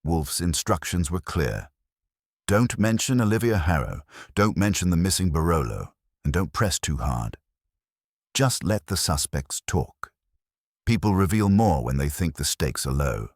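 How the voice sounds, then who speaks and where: A man with an extremely deep voice narrates a detective story. His delivery is wry and measured, with lively swings in pitch. The sound is dry, with very little room in it. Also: no room sound. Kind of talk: scripted first-person detective story narration.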